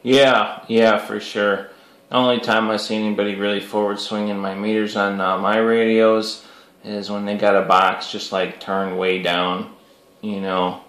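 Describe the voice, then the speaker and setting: A man with a fairly low voice chats casually as a CB radio hobbyist. His talk is loose and slangy, and the room around him sounds noticeably echoey. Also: level tone, a little slow, fairly bright tone, a little hiss or hum. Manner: informal, peppers talk with "you know" and CB slang. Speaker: CB radio hobbyist recording the video.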